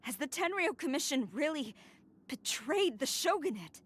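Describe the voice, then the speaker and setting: Young female officer, stern, loyal, formal, earnest, fairly high voice, video game drama. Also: big pitch swings, normal speed. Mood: pleading. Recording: music behind the voice.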